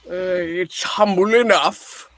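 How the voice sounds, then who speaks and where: A man's voice: high-pitched, takes it slowly, a bit bright, no room sound, full character voice. A man playing a humbled, anxious old miser in a radio play reading, hesitant and pleading. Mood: wondering, uneasy.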